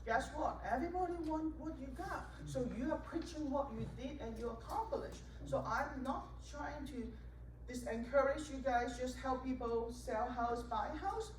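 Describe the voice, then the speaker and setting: High-voiced woman preaching, echoey hall. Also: moderate pace. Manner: emphatic, preachy, non-native grammar. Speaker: realtor panelist. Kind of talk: panel talk, persuasive. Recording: echoey room, distant mic, background noise.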